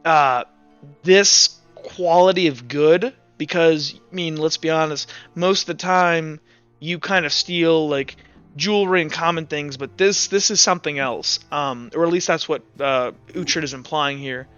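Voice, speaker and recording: A man narrating a tabletop roleplay game as game master in a halting, informal way, on a muffled recording. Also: on the high side, a bit bright, smooth, dry recording, faint music behind.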